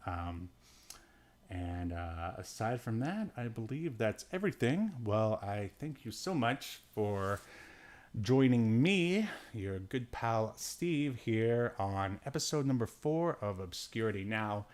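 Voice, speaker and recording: A man with a fairly low voice talks as a podcast host in a loose, casual livestream style. He rambles along and jokes dryly as he goes. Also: animated, slow, darkish tone. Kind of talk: casual solo livestream chat about obscure media.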